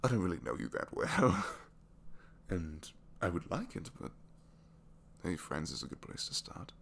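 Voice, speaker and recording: A man playing a captured, reluctantly evil supervillain in a romantic ASMR roleplay, bashful and lovestruck, speaking softly and haltingly and slipping into whispers. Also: sits low, wildly swooping pitch, gravelly, full character voice. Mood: tender, hesitant. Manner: halting, self-deprecating, soft confiding delivery slipping into whispers.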